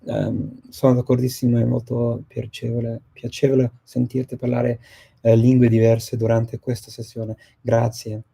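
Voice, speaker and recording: A man, a native English speaker, hosting a casual group chat and often pausing to search for words. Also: medium pitch, level tone, vocal fry, mellow, slightly rough. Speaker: host of a discussion on multilingual events.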